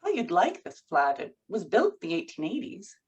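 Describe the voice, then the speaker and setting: A woman's voice: fairly low pitch, wildly swooping pitch, fairly quick, a little mellow, husky, lo-fi sound, heavily acted. A woman playing a poised, romantic professional, patient but pointed, in a screenplay table read. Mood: hurt, pointed.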